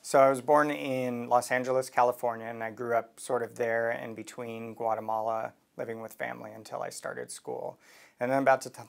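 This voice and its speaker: A man from Washington State speaks in a heavily gravelly voice, and his delivery stays flat and even. He is steady and plain-spoken as he answers interview questions about his path into the Reserves. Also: mid-range voice, brisk. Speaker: deputy city attorney and Army reservist. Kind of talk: recorded interview testimony.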